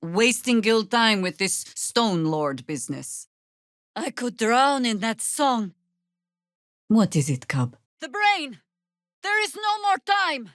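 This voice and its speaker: A woman playing a stern, wry older female druid warrior in a video game, speaking in a dry, measured, sardonic way. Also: a little high, big pitch swings, a bit bright, no room sound, heavily acted. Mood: urgent, defiant. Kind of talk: scripted video game character lines.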